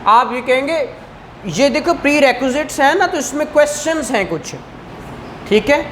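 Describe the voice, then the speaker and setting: A man's voice: very high-pitched, expressive, unhurried, fairly bright tone, slight background noise. A man with a Pakistani Punjabi accent giving a didactic classroom law lecture, repeating points and questioning students. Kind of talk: classroom lecture, code-switching into English terms.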